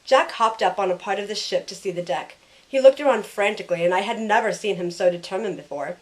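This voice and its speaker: A woman's voice: mid-range voice, fast talker, close to an everyday voice, low-quality recording. A woman playing a sardonic, loyal young heroine who narrates her own story in an audio drama. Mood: storytelling.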